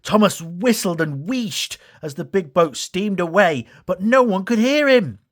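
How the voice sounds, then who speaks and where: A man's voice: high-pitched, expressive, unhurried. A man reading a children's story as a scripted storybook narration. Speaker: story reader.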